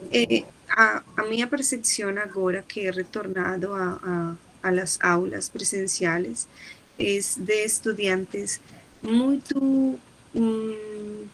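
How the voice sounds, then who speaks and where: Colombian teacher lady, spanish accent, slow and halting, gravelly edge, faint hiss behind. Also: mid-range voice, rough recording. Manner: halting, searching for words, mixes Portuguese and Spanish. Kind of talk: online class discussion.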